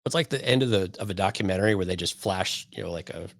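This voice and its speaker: Male co-host, lower-pitched, dry close sound, conversational and opinionated with a wry, incredulous edge. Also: quick pace, gravelly, a bit bright, no room sound. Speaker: podcast co-host. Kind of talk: casual opinionated chat.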